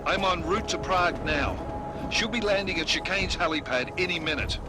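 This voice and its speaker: A man's voice: high-pitched, moderate pace, heavy background noise, lightly acted. An actor playing a stern male commander giving curt orders. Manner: curt, authoritative. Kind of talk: video game dialogue.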